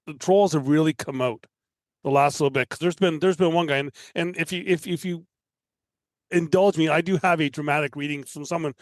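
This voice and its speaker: A man's voice: on the high side, steady tone, a little fast, no echo. A man from Canada chatting casually as a guest on a podcast. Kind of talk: casual chat.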